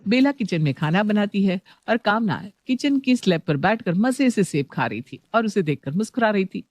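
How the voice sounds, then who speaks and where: A woman's voice: medium pitch, animated, moderate pace, darkish tone, no echo, studio quality. A woman working as narrator, reading a scripted story.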